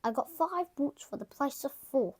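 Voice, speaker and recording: A woman's voice: high voice, expressive, moderate pace. A woman reading as a pleased grandfather pig. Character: proud bargain-loving grandfather pig.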